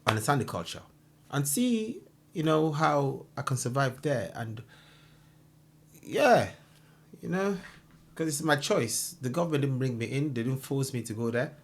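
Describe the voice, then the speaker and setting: A man's voice: medium pitch, fairly bright tone. A man reacting to a street interview clip, giving his opinion emphatically with many fillers. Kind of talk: reaction commentary.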